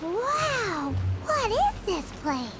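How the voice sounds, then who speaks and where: A tiny floating fairy from a video game, squeaky and childlike. The pitch is extremely high and swoops wildly, excitable yet timid. Loud music runs underneath. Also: female voice, drawn-out words, heavily acted. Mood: amazed. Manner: squeaky, childlike, speaks of self in third person. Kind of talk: English video game voice acting.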